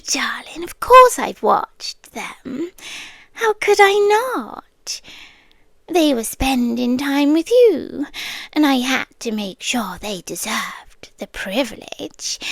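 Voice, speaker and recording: A British woman playing a possessive, sweetly menacing inhuman girlfriend in an ASMR roleplay, breathy and often whispering with deliberate pauses. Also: high-pitched, no echo, heavily acted. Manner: slow, deliberate, pausing between short phrases.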